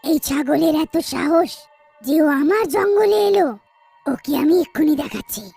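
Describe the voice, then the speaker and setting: A woman voicing a fearsome, proud, lonely witch of the forest in a horror cartoon, with loud, commanding delivery. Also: high voice, lively intonation, a little slow, gravelly, faint music behind, full character voice. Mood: indignant. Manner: imperious, loud, menacing declarations.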